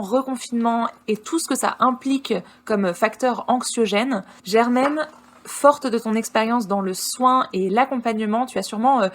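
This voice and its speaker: A woman co-hosting a podcast, introducing a guest and asking questions in an earnest, friendly way with many hesitations. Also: on the high side. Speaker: podcast co-host.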